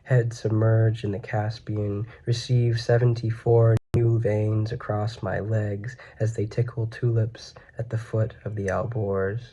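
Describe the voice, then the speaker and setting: A man gives a solemn poetry reading. His voice is dark and fairly low, with little pitch movement. The webcam recording is slightly dull. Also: level tone, unhurried, few pauses. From United States. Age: 20s. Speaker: poet. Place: small plain room indoors.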